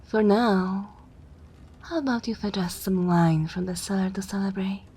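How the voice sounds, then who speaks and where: A woman playing a haughty, teasing vampire mistress in an ASMR roleplay, speaking formally and imperiously in a soft, breathy way. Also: medium pitch, moderate pace, a little hiss or hum, lightly acted. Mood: sly, flirtatious. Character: haughty, teasing female vampire mistress, possessive but willing to share.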